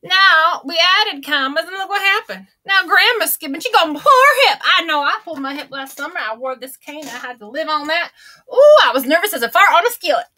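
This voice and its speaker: A woman's voice: very high-pitched, expressive, brisk, sharp tone, full character voice. A woman voicing a folksy old grandma who reads a storybook aloud in a chatty, homespun, theatrical way. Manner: chatty and theatrical, gasping and exclaiming.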